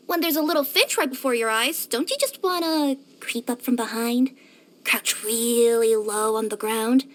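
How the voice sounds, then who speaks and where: A young cat-spirit courier girl from a video game. Her voice is extremely high and cutesy, eager and cheerful by temper. It swings up and down expressively as she talks. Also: exaggerated voice. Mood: playful, keen. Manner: expressive, cutesy, with gasps and hesitant murmurs. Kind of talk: scripted video game character voice lines.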